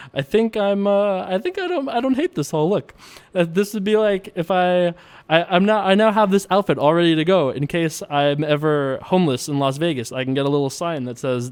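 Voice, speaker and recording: A man's voice: fairly high pitch, smooth. Jokey, chuckling young man commentating poker. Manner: jokey, chuckling, self-amused, drawn-out mock pondering. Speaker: poker vlogger. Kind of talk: casual playful commentary over online poker.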